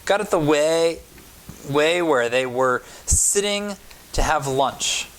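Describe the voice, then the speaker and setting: Storybook role: kind older neighbor woman, a patient gardener, slow-spoken played by a male reader. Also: fairly high pitch, expressive, voice pushed up. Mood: reminiscing. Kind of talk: storybook character dialogue.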